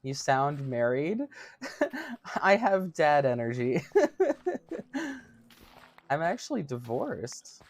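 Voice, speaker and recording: A man in his thirties with a somewhat high-pitched voice, chatting casually with livestream viewers in a giggly, chatty, self-mocking way. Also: big pitch swings, slow pace, pauses often, dry recording. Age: 30s. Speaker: streamer.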